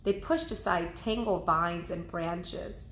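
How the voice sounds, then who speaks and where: A woman's voice: fairly low pitch, takes it slowly, fairly bright tone, a little hiss or hum. A woman reading a children's storybook aloud in the manner of a teacher. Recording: roomy, echoing indoor sound.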